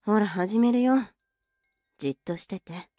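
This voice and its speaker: Tsundere older sister for anime ASMR, played by a woman: unhurried, mellow, scolding yet affectionate, intimate close mic. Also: mid-range voice, no echo, lightly acted. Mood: teasing, fond. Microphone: very close, dry microphone.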